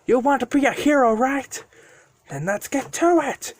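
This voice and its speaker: A man's voice: very high voice, animated, a little slow, no room sound, pitched above the performer's own voice, exaggerated voice. A man voicing a blunt, impatient dragon mentor who scolds and commands in an amateur storytelling voice. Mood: fierce, bossy.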